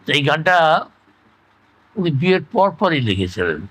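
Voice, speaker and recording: An elderly man reminisces in casual conversation, reflective and halting, often repeating himself. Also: on the high side, big pitch swings, fairly bright tone, slightly rough. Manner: halting, reflective, trailing into repetitions. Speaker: interview guest, retired engineer recalling life.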